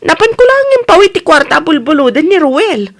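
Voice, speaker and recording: Actress doing broke young filipino working girl, really high lively voice, pleady and defensive, radio comedy drama. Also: normal speed, exaggerated voice. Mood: pleading, evasive. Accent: Philippine.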